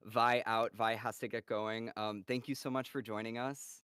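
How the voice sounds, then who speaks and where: A man hosting a live-stream Q&A with a voice cast, reading out fan questions in a relaxed, upbeat way. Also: lowish voice, normal speed, smooth, no echo. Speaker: moderator of a voice cast Q&A.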